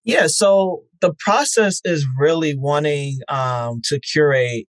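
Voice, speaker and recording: A man who founded a festival answers interview questions in an earnest, measured way, with frequent fillers. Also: a little high, no room sound.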